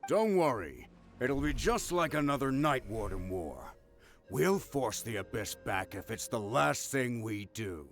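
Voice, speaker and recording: A man's voice: medium pitch, very animated, measured pace, soft background music. A man voicing a resolute, grave and protective military commander in a video game.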